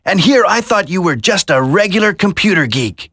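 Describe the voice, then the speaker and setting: An actor playing an earnest, determined young special-forces soldier in a dramatic video game. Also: male voice, high-pitched, big pitch swings, moderate pace, sharp tone, slightly rough, no room sound, studio quality, full character voice. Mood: calm, dry.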